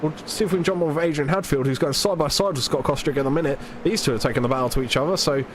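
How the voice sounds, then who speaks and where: A man's voice: a little high, slight background noise. A British man commentating alone on a live sim race, chatty and self-deprecating, his voice a bit stuffed up from hay fever. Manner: chatty, self-deprecating, quick play-by-play with fillers.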